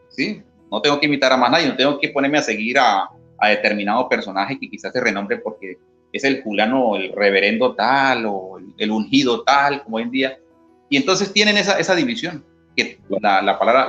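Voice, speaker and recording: A man's voice: mid-range voice, a bit bright. Teacherly man reading scripture. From Colombia. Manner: teacherly, reads scripture aloud, asks "¿sí?" often. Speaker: interview guest, former church member. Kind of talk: explaining Bible passages in an interview. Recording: dull lo-fi audio with faint guitar music.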